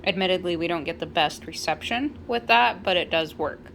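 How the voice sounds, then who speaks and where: A woman's voice: lowish voice, brisk, vocal fry, fairly bright tone, slight background noise. An American woman co-hosting with casual, conversational explanation and a wry manner. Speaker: co-host.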